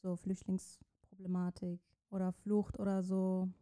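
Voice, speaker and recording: Young woman from Iran, native Persian speaker, very dark timbre, halting interview answers. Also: mid-range voice, monotone, smooth. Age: young adult. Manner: searching for words, many restarts. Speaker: interview guest, student writer.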